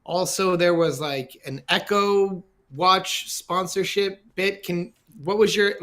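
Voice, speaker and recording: Male podcast host, slightly high voice, loose rambling question style, casual. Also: steady tone, slow pace, pushed and bright. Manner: friendly, meandering questions full of "like". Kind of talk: casual interview.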